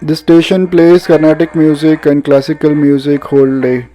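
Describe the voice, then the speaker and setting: A man with an Indian accent giving a casual, halting product demonstration as a radio reviewer. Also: fairly high pitch, little pitch movement, dark tone, rough recording. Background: radio music playing.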